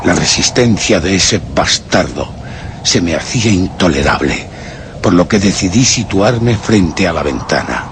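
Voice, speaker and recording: A vengeful murderer telling his tale in a radio horror play. His Castilian Spanish is deliberate and menacing, fairly low-pitched yet highly expressive. Clear noise sits behind the recording. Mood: bitter, vengeful. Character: cold, vengeful male murderer recounting his crime. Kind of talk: dramatic first-person radio horror narration.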